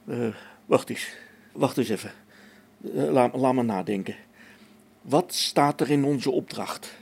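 A man's voice: mid-range voice, a little slow, gravelly, darkish tone, husky. An actor playing a harried space station engineer in a radio play, thinking aloud as he reasons things out. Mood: dawning realisation.

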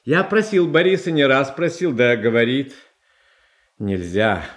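An actor playing a gentle, childlike young tsar in a radio tragedy, halting and earnest, often repeating himself. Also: male voice, mid-range voice, natural voice. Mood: wistful. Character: gentle, anxious, kind-hearted young tsar, childlike and pleading. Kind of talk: Russian stage tragedy as radio play.

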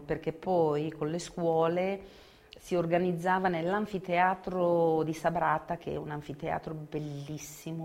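A woman's voice: deep voice, gravelly. An older woman giving spontaneous testimony, recalling her past. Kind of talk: spontaneous recollection.

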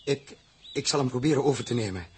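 An actor playing an anguished, commanding space crew captain in a 1960s radio drama, speaking with urgent emotion. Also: male voice, mid-range voice, natural voice. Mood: grave, determined. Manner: urgent, emotional, halting repetitions. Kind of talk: 1960s Dutch radio play acting. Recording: noisy old 1960s radio recording.

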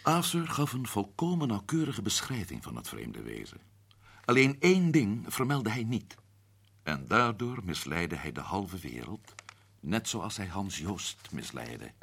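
A man playing a shrewd man explaining the solution of a mystery in a radio play, husky and measured. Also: lowish voice, big pitch swings, fairly bright tone, heavily acted. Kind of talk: radio drama acting.

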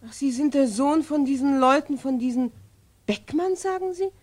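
An actress playing a callous, suspicious housewife in a German radio play, brusque and dismissive. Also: female voice, high-pitched, lively intonation, moderate pace, a little mellow. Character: curt, callous, suspicious housewife at her door.

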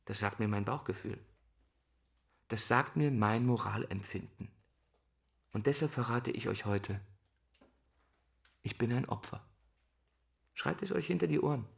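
An idealistic male teacher in a German radio drama. His voice sits at a middle pitch with plenty of gravelly fry. He talks deliberately, earnest and principled, with a dry, guarded edge. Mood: defiant, lecturing. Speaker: school teacher.

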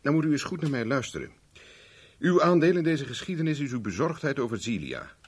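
An actor in a 1970s Dutch radio play, playing a cocky private investigator with a dry, teasing, self-mocking manner. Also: male voice, mid-range voice, sing-song, a little fast, husky. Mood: firm, explaining. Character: wry, cocky male private investigator for hire.

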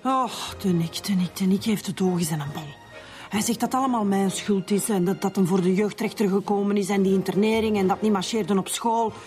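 A woman's voice: mid-range voice, fairly even intonation, brisk, a little mellow, soft background music, lightly acted. An actress playing a bitter, hard-drinking, chain-smoking Flemish mother in a radio drama, rambling and repeating her words. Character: bitter, boozy, chain-smoking mother, a suspect's sullen parent.

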